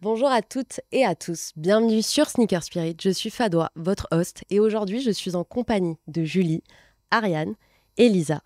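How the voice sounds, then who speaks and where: A woman's voice: medium pitch, normal speed, a little mellow, no echo. A woman in her late twenties hosting a podcast, speaking in a conversational interviewing style. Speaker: podcast host. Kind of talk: conversational interviewing at a talk event.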